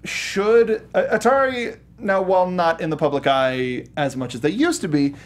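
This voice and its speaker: A man's voice: high voice, expressive, normal speed. A man hosting a casual panel discussion of gaming news, speaking in stop-start phrases. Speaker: host.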